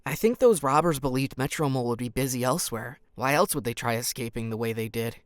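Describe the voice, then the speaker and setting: A man's voice: medium pitch, fast, smooth, no room sound, close to an everyday voice. Man playing earnest, dry-recorded teenage boy. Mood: earnest, deducing. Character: earnest, guarded teenage boy, sharp-minded orphan. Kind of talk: audio drama acting.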